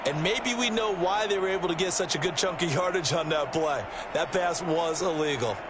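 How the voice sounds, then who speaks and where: A man's voice: on the high side, moderate pace, background noise, natural voice. A man playing an American football color analyst, chatty and opinionated, speaking in an emphatic conversational way. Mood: engaged. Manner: conversational, emphatic, rhetorical asides. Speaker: color commentator.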